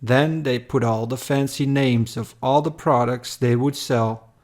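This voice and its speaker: A man narrating a scripted dramatic poem in a measured, solemn manner. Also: medium pitch, fairly even intonation, darkish tone.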